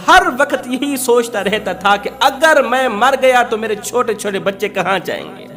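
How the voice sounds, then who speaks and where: A man's voice: high-pitched, fairly bright tone. A man preaching an emotional Islamic storytelling sermon, impassioned and emphatic, repeating phrases for effect.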